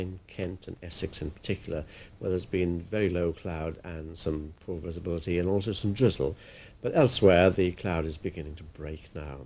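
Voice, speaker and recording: A man presents the weather forecast in a very low-pitched voice. He explains things to viewers in a plain, conversational way, as a television forecaster does. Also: a little mellow.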